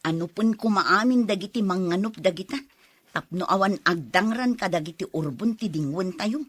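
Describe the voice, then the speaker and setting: A woman's voice: medium pitch, moderate pace, fairly bright tone, breathy edge, no room sound. A woman playing a matter-of-fact adult daughter from a village in a Filipino audio drama.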